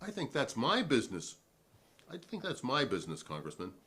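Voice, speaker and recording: Man playing a defiant, animated American hearing witness. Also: mid-range voice, brisk, breathy edge, full character voice. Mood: stubborn. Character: defiant, principled male witness at a 1950s hearing. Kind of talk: dramatic reading of a hearing transcript.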